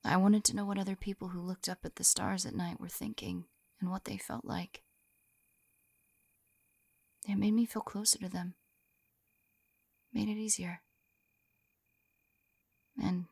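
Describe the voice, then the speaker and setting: Soft whispery, creaky young lamia woman, acted by a woman. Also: fairly even intonation, moderate pace, pauses often, dry recording. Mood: wistful, soft. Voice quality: soft, breathy whispering mixed with low murmurs. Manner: hesitant, tender, reassuring. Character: gentle, shy, affectionate lamia woman on first date. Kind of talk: intimate ASMR roleplay, soft-spoken and often whispering. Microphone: close-up microphone, dry sound.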